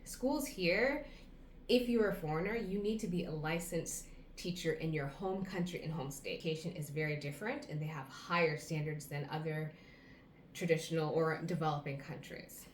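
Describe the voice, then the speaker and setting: American woman, thirties, low voice, earnest advice, roomy echo, faint hum. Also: normal speed. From United States. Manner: earnest, advice-giving, lists things off. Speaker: vlogger. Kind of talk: casual talk to camera.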